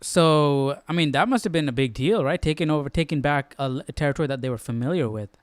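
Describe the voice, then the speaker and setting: A man hosting a Bible study stream in casual, slangy chat, halting as he thinks aloud. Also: on the high side, no room sound. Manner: informal, slangy, halting as he works things out.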